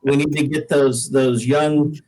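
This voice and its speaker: A man's voice: on the high side, little pitch movement, measured pace, a little mellow, rough recording. An American man from the Pacific Northwest, a singer-songwriter being interviewed, tells stories in a casual, folksy, modest way. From Pacific Northwest, United States. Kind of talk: casual conversational storytelling.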